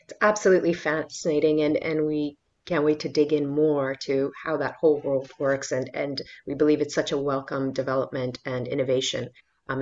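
Enthusiastic woman co-host, dull lo-fi sound. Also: a bass voice. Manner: enthusiastic, encouraging questioning. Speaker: podcast co-host. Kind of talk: interview questions.

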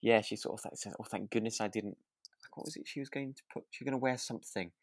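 Low-voiced British man, casual podcast co-host. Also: fast, no room sound. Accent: British English. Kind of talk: casual chat about a radio drama.

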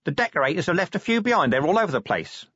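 A man reading a story plays a cheerful, cheeky corporal. He is blunt and practical, his voice pushed up high and bouncing around, on a slightly dull recording. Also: big pitch swings, very fast, fairly bright tone, husky, no room sound, heavily acted.

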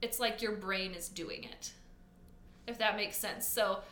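A chatty young woman co-hosting a casual podcast, her bright, forward voice filling an echoey room. She rambles through ideas with fillers and easy laughter, over a faint hum of ambience. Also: medium pitch, a little slow. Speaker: podcast co-host. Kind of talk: casual chat.

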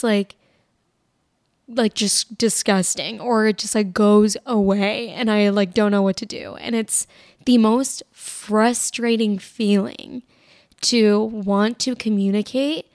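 A young woman with a raspy voice hosting her own podcast, chatting casually and rambling with plenty of "like", tired as she recovers from being sick. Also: mid-range voice, a little mellow, no echo, studio quality. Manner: rambling, filler-heavy, lots of "like". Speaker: podcast host. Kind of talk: casual solo podcast chat.

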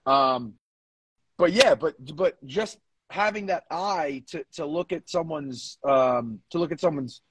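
A man's voice: on the high side, slow pace, noisy background. A man co-hosting a podcast in casual discussion, giving coaching opinions and repeating his points for emphasis.

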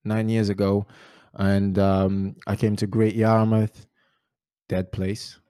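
A man in his twenties from Portugal, speaking English with a Portuguese accent, hosting a relaxed podcast chat full of fillers. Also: on the low side, slow pace, clean tone, dry recording, studio quality. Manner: relaxed, rambling, many fillers. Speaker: podcast host. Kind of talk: casual chat.

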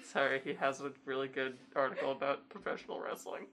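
A young woman with a very low voice chats on a casual podcast. She talks in a dry, deadpan monotone. A faint hiss sits under her voice. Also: fast talker. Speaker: podcast co-host. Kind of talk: casual chat.

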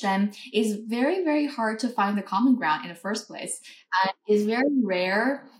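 A woman from Beijing, hosting an interview in a measured, reflective way. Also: medium pitch. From Beijing, China. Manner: measured, reflective, slows to choose words. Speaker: podcast host. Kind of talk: interview conversation. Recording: echoey room.

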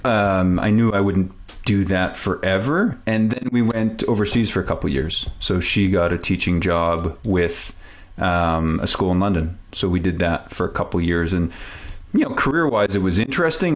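A man's voice: sits low, lively intonation, moderate pace. A man in his forties from Canada, a native French speaker, talking casually and reflectively as a guest in an interview. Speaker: podcast guest.

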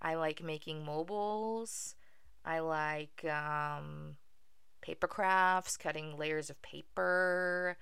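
Low gravelly woman, wry podcast host. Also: takes it slowly, a bit bright, no room sound, clean studio sound. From Venezuela. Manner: wry, self-deprecating, with filler ums. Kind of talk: casual solo podcast monologue.

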